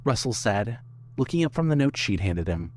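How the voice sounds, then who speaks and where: A man's voice: mid-range voice, very animated, moderate pace. A man reading scripted narration for an audio fiction story.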